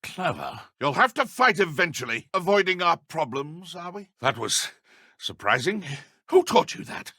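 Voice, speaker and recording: An actor playing a haughty, menacing dark wizard in a video game, with a British accent and pompous, formal, theatrical delivery. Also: male voice, on the high side, sing-song, normal speed, dry recording, studio quality, full character voice. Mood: taunting.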